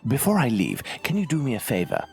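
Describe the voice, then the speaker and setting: An actor playing a brilliant, composed English gentleman detective in a video game, speaking in formal, deliberate, analytical phrases. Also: mid-range voice, wildly swooping pitch, creaky, soft background music. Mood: coolly persuasive. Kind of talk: scripted video game acting.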